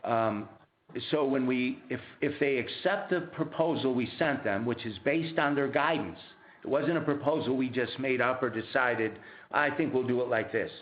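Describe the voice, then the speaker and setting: A man answering questions as a company executive on a conference call, explaining in a halting way and rephrasing mid-sentence. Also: medium pitch. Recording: muffled, roomy conference phone line.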